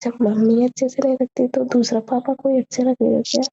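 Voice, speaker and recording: A woman's voice: a little high, level tone, a little fast, creaky, mellow, husky. A young woman chatting casually and personally on a phone call, often repeating her phrases, in a lo-fi recording.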